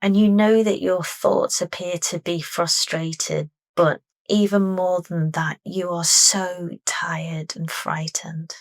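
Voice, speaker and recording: Woman, meditation guide, low voice, very slow soft delivery, close dry sound. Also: steady tone, no echo. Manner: soft, unhurried, deliberate phrasing.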